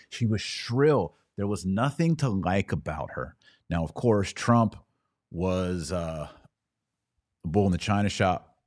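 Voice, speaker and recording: A man with a very low, warm voice talks through comments on a livestream in a casual, conversational way. He drops in wry asides. The sound is dry and close. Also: dry recording. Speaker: podcast host.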